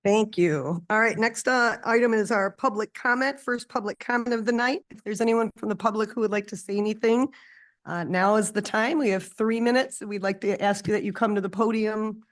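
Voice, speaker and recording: A woman chairing a township board meeting in a meeting room, running the agenda in a brisk, friendly, procedural way. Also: medium pitch.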